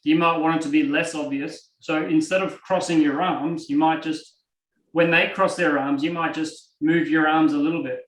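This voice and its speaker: A man with an Australian accent teaching a live online coaching class in a lively, conversational way and acting out examples. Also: fairly high pitch, normal speed, far from the mic. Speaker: NLP trainer. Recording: roomy and from off the mic.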